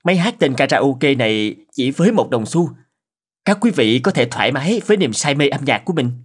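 Polite, earnest cartoon inventor. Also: male voice, medium pitch, sing-song, a little fast, husky. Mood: presenting. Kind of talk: animated cartoon dialogue.

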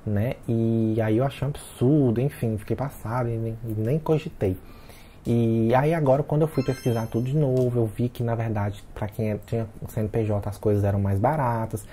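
A man's voice: medium pitch, dark tone, a little hiss or hum. A man in his late twenties chatting casually to his viewers, talking straight to them and keeping them involved throughout. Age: 20s. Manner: chatty, keeps addressing viewers as "gente". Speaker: vlogger. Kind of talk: casual chat to camera.

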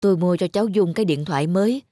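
A woman's voice: on the low side, fast talker, mellow, no echo, natural voice. A woman playing a patient, self-sacrificing middle-aged village mother in a cartoon. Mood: hesitant. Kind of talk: cartoon voice acting.

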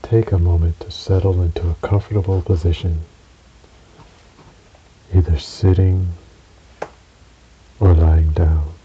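A man narrating a guided relaxation meditation in a soothing, measured way, with long pauses between short phrases. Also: low voice, flat delivery, warm tone. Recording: dull recording with audible hiss.

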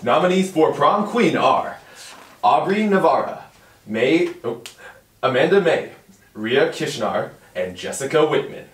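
Far from the mic in an echoey room, a young man acts out an excitable prom DJ. The voice is highish and swoops widely, stretching out each announcement slowly for suspense. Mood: showy announcing. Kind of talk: hyped announcing at a script read-through. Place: home dining room. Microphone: far camera mic.

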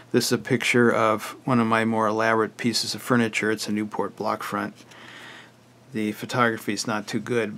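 An older man with a hoarse, raspy voice who often clears his throat, walking plainly through a book he wrote. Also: lowish voice, a bit bright. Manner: plain, matter-of-fact, list-like explanation. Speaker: author presenting his book. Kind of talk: casual book walkthrough.

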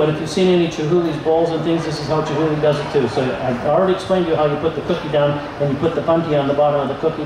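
An older man giving a relaxed live glass-craft demonstration, pausing with fillers as he handles pieces. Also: a little high, noisy background. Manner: relaxed explaining, many fillers, handling pieces while talking. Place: large convention hall room. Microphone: far camera. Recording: echoey hall, voice from far off.

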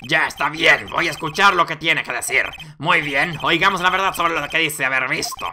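A performer voicing a stern but befuddled old male judge in a game, solemn and deliberate. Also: medium pitch, sharp tone, a little hiss or hum. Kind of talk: video game dialogue read aloud with character voices.

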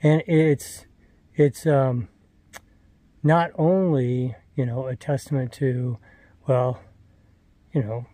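A man's voice: medium pitch, steady tone, takes it slowly, long pauses, mellow, no echo. A man in his sixties vlogging casually from a hike, rambling with many fillers and restarts, filmed at arm's length. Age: 60s. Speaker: thru-hiker vlogger. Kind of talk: casual trail vlog. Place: forest trail outdoors; wooden hostel room indoors. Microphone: phone or camera held at arm's length.

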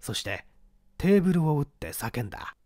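A man narrating a mystery story in a deliberate, dramatic reading style. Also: fairly high pitch, big pitch swings, warm tone, dry recording, clean studio sound.

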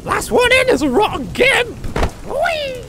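An actor voicing an excitable, crude gnome for a comedy fantasy cartoon, exclamatory and stammering. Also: extremely high pitch, a little slow, exaggerated voice. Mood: gleeful. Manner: exclamatory, stammering, swooping delivery. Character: excitable foul-mouthed gnome potion-thrower, gleefully crude. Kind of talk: comedic animated fantasy voice acting. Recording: echoey sewer-like reverb with noise.

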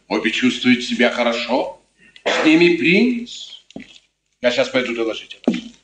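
An actor playing an evasive, scheming court chamberlain in a 1950s radio play, formal and courtly in manner. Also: male voice, mid-range voice, a bit bright. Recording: noisy, echoey 1950s radio recording.

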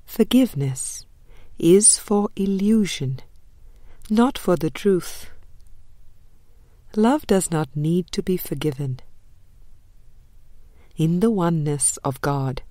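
A woman narrates a spiritual audiobook in a slightly low, mellow voice. She reads very slowly and deliberately, leaving frequent pauses between phrases. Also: lively intonation, no echo, clean studio sound. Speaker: audiobook reader. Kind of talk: scripted reading of a spiritual book.

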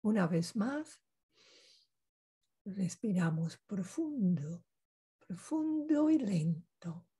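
A woman leading a guided meditation, giving calm instructions in short phrases with pauses between them. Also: mid-range voice, husky.

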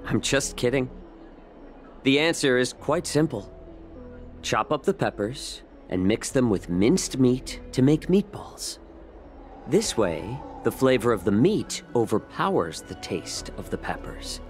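A man voicing a composed, sly fox-man in a video game, politely needling and gently mocking. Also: medium pitch, very animated, a little slow, faint music behind. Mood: amused, teasing. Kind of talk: measured game character acting.

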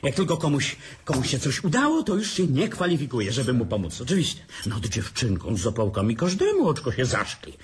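A man's voice: medium pitch, very animated, brisk, hardly any pauses, slightly rough. An actor playing a boastful, self-pitying businessman who drinks and mocks everyone at a family dinner in a radio drama. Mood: mocking. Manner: sarcastic, theatrical, drinking.

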